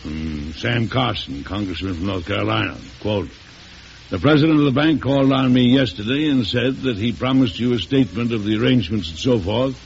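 A man's voice: medium pitch, darkish tone, lightly acted. An older man playing an aging, stern and wry American president in an old-time radio drama, formal and commanding. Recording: hissy, muffled vintage radio recording.

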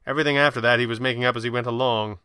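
A man playing a composed, erudite masked crimefighter in an audio drama, speaking in a measured, articulate way with dry wit. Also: medium pitch, steady tone, pushed and bright, clear, resonant tone, dry recording, close to an everyday voice. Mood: measured, serious. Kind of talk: audio drama character voice in narrated reading.

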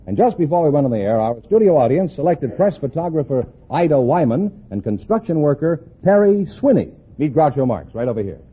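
A man works as a formal mid-century radio announcer, projecting like a showman. His voice sits on the high side and swings widely in pitch, heard through a slightly muffled old broadcast recording. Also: sing-song, brisk, few pauses, slightly rough. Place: radio studio before a live audience.

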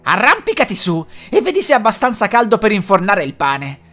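A woman's voice: medium pitch, sing-song, a little fast, a bit bright, faint music behind. A woman voicing a cruel, half-blind old witch who barks harsh orders and scolds. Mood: scornful.

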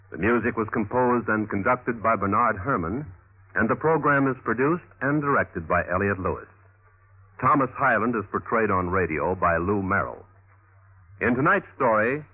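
Male vintage radio announcer, scripted credits read, low-ish husky tone, narrow tinny broadcast sound.

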